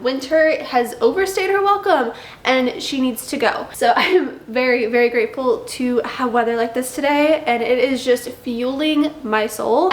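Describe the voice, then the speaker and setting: Young woman, bubbly chatty vlogger, a bit nasal, roomy echo. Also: high voice. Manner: bubbly, chatty, drawn-out emphasis. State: slightly nasal from congestion. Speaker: lifestyle vlogger. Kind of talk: chatty vlog talk to camera.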